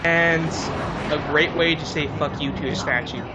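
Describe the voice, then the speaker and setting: A man's voice: on the high side, steady tone, normal speed, muffled recording, heavy background noise. A man co-hosting a let's play, giving casual gameplay commentary and often searching for his words. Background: game music.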